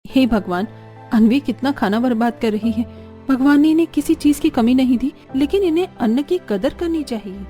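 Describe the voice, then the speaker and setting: Woman as a high-voiced, earnest young daughter-in-law. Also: lively intonation, moderate pace, dark tone, faint music behind. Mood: concerned. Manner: polite, sincere. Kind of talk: Hindi cartoon story voice acting.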